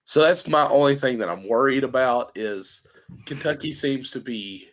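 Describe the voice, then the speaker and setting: A man co-hosting a casual sports podcast, hoarse and congested, rambling and restarting his thoughts. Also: mid-range voice, normal speed. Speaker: podcast co-host.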